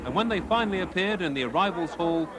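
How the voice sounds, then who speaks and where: British male reporter over loud background noise. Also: sits high, expressive, quick pace. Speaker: television news reporter. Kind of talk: scripted report narration.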